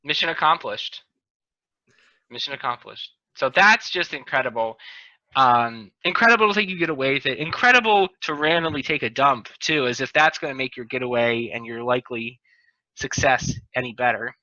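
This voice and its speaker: A man's voice: medium pitch, normal speed, pushed and bright, dry recording. A man hosting a casual group chat over a video call, often repeating and correcting himself as he goes. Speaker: podcast host. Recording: dull, lo-fi video call audio.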